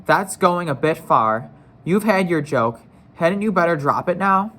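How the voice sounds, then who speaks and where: A man's voice: a little high, lively intonation, measured pace, pushed and bright. A man reading the part of a teasing but reasonable schoolboy big brother in a storybook, chummy and a little patronizing. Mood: chiding.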